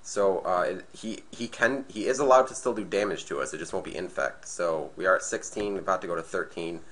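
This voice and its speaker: Deep-voiced man with a sick, nasal rasp. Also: fairly quick, fairly bright tone. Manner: thinking aloud, hesitant restarts. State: sick, slightly raspy and nasal. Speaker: gameplay commentator. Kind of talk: casual card game play commentary.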